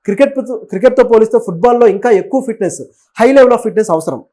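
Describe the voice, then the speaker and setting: A man presents sports and political news in a conversational way. His voice sits high and he talks quickly. He explains as he goes, gives his opinions and drops in English words. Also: dark tone. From Telugu states of southern India. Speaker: web news presenter and commentator.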